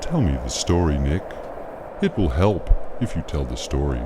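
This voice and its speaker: A man acting in an audio play as a calm, patient questioner who speaks formally, like a therapist. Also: a bass voice, moderate pace, very noisy. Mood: calm, probing.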